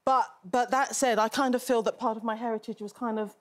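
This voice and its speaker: A woman's voice: fairly high pitch, steady tone, brisk, a crowd in the background. A middle-aged woman author, a guest on a TV talk show, talking thoughtfully and earnestly and laughing easily. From England. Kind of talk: casual chat. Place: TV studio.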